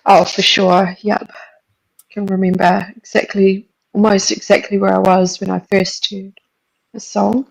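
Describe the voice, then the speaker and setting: A woman's voice: mid-range voice, flat delivery, measured pace, vocal fry, rough recording. A woman co-hosting a casual livestream chat who mostly gives short, agreeable replies. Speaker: livestream co-host.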